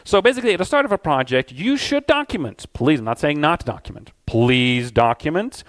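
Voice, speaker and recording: Loud energetic man giving a talk. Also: fairly high pitch, a little fast. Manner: energetic, conversational, loud stage voice. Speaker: conference speaker. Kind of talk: conference talk. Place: conference stage.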